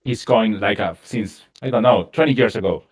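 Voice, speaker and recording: Low-voiced Chilean man on a call. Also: husky. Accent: Chilean Spanish. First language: Spanish. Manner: halting, searching for words with many uh fillers. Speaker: crypto trader in a group call. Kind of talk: casual chat.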